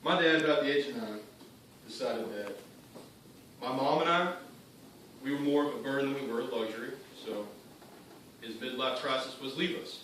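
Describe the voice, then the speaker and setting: A man performing a theatrical monologue as a cynical, bitter young drug dealer, defiant and speaking in clipped phrases with pointed pauses. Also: medium pitch, a bit bright, lightly acted. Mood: dismissive, wounded. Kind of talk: theatrical monologue addressed to an audience. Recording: very echoey room, distant mic, faint noise.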